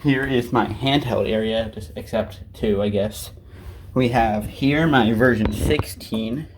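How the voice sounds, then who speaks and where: A man with a fairly low voice presents things from his collection in an unscripted, casual way. He rambles offhandedly and mutters asides to himself. The amateur home recording has some noise behind him. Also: slow pace. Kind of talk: casual unscripted show-and-tell. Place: indoors, at home by a desk. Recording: clearly noisy amateur recording.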